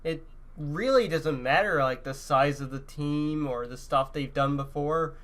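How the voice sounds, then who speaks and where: A man's voice: on the high side, a little slow, a bit bright. A man co-hosting a podcast in a casual, chatty gaming-news style. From Canada. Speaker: podcast co-host.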